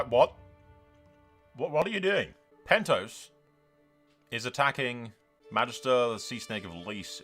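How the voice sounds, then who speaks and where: A man hosting a game roleplay series, telling the story as he plays in an excitable, dramatic way. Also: lowish voice. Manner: excitable, dramatic, mild swearing. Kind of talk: lively game playthrough commentary and storytelling.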